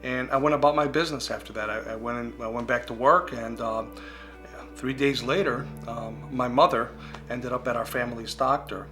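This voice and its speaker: A man's voice: medium pitch, normal speed, pushed and bright. Earnest man telling his story. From United States. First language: Greek. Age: 40s. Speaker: speaker sharing his recovery testimony. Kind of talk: personal testimony. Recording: guitar music playing underneath.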